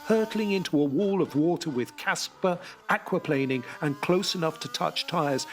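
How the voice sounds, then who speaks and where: A man's voice: on the high side, moderate pace, lightly acted. Casual: guy doing a driven, reckless veteran racing driver in a radio drama, short clipped inner thoughts, music swelling underneath. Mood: brooding. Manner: terse, clipped inner monologue. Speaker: narrator and lead character. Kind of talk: audio drama narration.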